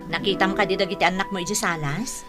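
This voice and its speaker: A woman's voice: mid-range voice, fairly even intonation, quick pace, loud music behind the voice, close to an everyday voice. A woman playing a house-proud, evasive wife and mother in a radio drama, with a coaxing manner and an Ilocano accent.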